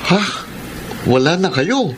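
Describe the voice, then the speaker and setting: A man's voice: fairly high pitch, big pitch swings, slow pace. A man playing an earnest young Filipino man in a radio romance drama. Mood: surprised, concerned. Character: earnest young man, suitor or concerned friend. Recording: noisy ambience behind voice.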